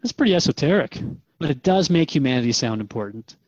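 A man's voice: medium pitch, lively intonation, quick pace, dry recording, lo-fi sound, close to an everyday voice. A man playing an earnest, inquisitive human seeker in a radio drama, talking conversationally and a little hesitantly. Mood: earnest, reflective. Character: earnest, questioning human man seeking hope for planet.

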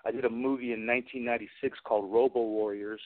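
A man of average pitch speaks over a narrow, phone-like connection on a radio interview. He is an enthusiastic guest who rambles through anecdotes in a conversational way. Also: fairly even intonation, fast. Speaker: guest, special effects artist and creature performer. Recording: tinny phone-like radio call.

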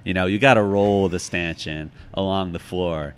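A man's voice: sits low, steady tone, normal speed, clear, resonant tone. A middle-aged man giving reflective, conversational answers as an interview guest, thoughtful and self-deprecating. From United States, Chicago.

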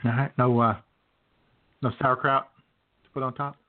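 A man's voice: medium pitch, level tone, normal speed. A man hosting a radio show with casual, folksy, rambling comedic banter. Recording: tinny phone-like sound, faint noise.

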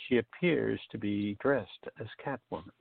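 A man's voice: fairly low pitch, moderate pace, vocal fry, no room sound. A man reading scripted scene-setting narration for a radio play. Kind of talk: scripted stage-direction narration. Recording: dull lo-fi audio.

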